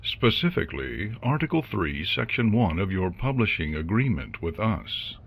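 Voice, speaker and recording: Man acting unicorn corporate lawyer, smug, measured formal speech, very swooping intonation, slightly muffled fan audio drama. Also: sits low, big pitch swings, gravelly, slightly rough, full character voice. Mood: coolly accusing.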